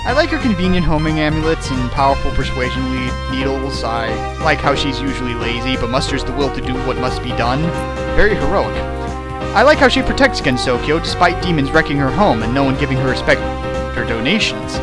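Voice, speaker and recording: A man's voice: medium pitch, expressive, brisk, over loud music. A man reading a fan fiction aloud for laughs, breaking off into sarcastic, mock-outraged commentary. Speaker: reader riffing a fan fiction. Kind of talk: comedic dramatic reading with commentary.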